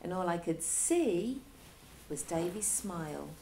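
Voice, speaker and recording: A female teacher reading a children's story aloud, with expressive delivery and dramatic pauses. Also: fairly low pitch, a little hiss or hum.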